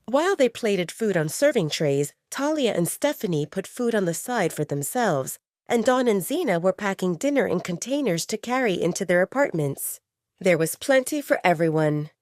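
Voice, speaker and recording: A woman's voice: mid-range voice, a little mellow, no room sound, studio quality. A woman narrating a scripted audio drama as a storyteller.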